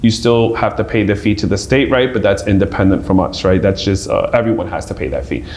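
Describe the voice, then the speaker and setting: Low-voiced man explaining in an echoey room. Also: a little fast, darkish tone, slight background noise. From New York. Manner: earnest, explanatory, frequent "right?" tags. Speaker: small business advisor. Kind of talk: interview guest, explaining. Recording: echoey room with faint ambience.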